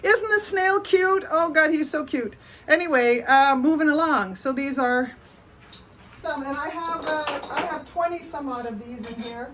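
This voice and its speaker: A woman's voice: high-pitched, unhurried, a bit bright. A woman with a Canadian accent doing a casual live show-and-tell as a potter, chatty and rambling, often breaking off mid-sentence. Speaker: potter hosting a livestream. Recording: noticeable background noise.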